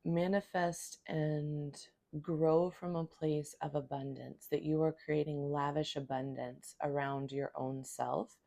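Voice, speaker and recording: Hoarse low-voiced woman reading tarot. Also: steady tone, slow, mellow. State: hoarse from a cold. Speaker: tarot reader.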